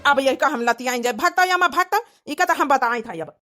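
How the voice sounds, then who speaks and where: Woman voicing a high-voiced, grumbling Awadhi young husband. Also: fairly quick, sharp tone, faint music behind. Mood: indignant, angry. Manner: indignant, complaining rural speech. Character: grumbling, hot-headed young village husband and son. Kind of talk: Awadhi comedy cartoon voice acting.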